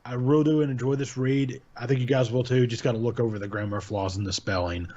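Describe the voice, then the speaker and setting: A young man chatting casually as a channel host, rambling in a laid-back way and often restarting his sentences. His voice sits at a middling pitch and comes through a desk microphone on a dull, lo-fi home recording. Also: fairly even intonation, fast, darkish tone. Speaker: channel host reviewing horror stories. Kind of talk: casual chat. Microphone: desk mic with pop filter.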